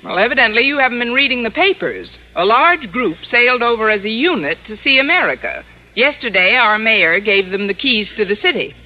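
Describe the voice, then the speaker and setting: A woman acting a wry, sardonic schoolteacher in old-time radio comedy, with dry, deadpan wisecracks. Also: a little high, a little fast. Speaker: lead actress and narrator. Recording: muffled, noisy old radio broadcast recording.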